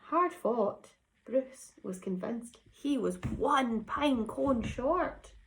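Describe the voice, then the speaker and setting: Female storyteller, teacher type: high pitch, unhurried, expressive and dramatic, talking directly to small children. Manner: animated, dramatic emphasis. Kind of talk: expressive picture-book narration with asides to children.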